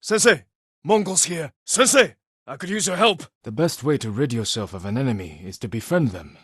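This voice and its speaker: An actor playing an honourable, stoic samurai warrior in a video game, speaking in a measured, solemn way with short, clipped sentences. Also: male voice, mid-range voice, lively intonation, a bit bright, breathy edge, dry recording, clean studio sound. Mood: urgent, commanding. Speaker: video game lead character. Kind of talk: dramatic video game voice acting.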